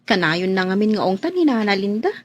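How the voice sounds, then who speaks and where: An actress playing a shy, deferential young housemaid in a radio drama, coy, hesitant and polite. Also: female voice, mid-range voice, sing-song, a little fast. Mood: worried, polite. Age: young adult.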